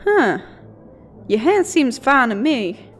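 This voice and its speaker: A woman playing a high-voiced surgery receptionist. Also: very animated, takes it slowly, slight background noise, full character voice. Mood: unimpressed. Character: unimpressed but kindly female receptionist at a surgery. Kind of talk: comedic fantasy audio drama acting.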